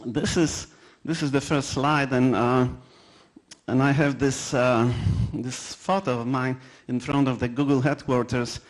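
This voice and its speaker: Halting Polish-accented man giving a talk. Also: mid-range voice, fairly even intonation, poor audio. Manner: halting, many fillers and restarts; anecdotal, self-deprecating. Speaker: conference presenter. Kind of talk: conference talk.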